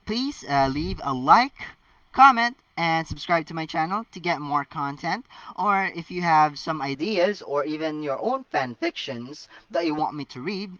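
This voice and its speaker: A man reading fan fiction as an amateur channel host, with animated, halting delivery and casual asides. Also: a little high, no room sound. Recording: dull lo-fi, distant mic.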